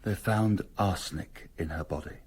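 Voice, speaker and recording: An actor playing a deferential, discreet legal assistant in a radio drama, speaking in a hushed, respectful way. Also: male voice, deep voice, normal speed, breathy edge. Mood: discreet, grave. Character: deferential, discreet male legal assistant reporting grim news.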